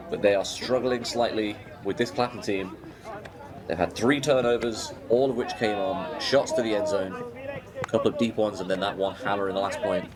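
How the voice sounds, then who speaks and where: A man giving live play-by-play commentary on a match, steady and informative as he reads each move. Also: fairly low pitch. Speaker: sports commentator. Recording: loud crowd behind commentary.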